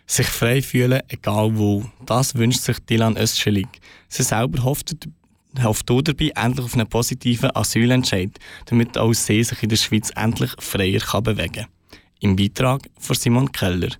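Male radio host, mid pitch, relaxed, dry close mic. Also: normal speed, gravelly, no echo. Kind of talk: radio moderation.

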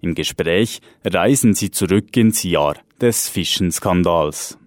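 A man reading scripted radio news in a measured, even way. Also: sits low, no echo, studio quality.

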